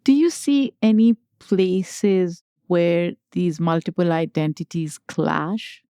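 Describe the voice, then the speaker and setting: A woman hosting a podcast, asking interview questions in a slow, deliberate way. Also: lowish voice, mellow, clear, resonant tone, no echo, studio quality. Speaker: podcast host.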